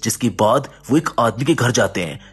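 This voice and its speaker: A man's voice: mid-range voice, animated, normal speed, husky. A man narrating a movie's plot as a scripted voice-over. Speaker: film recap narrator.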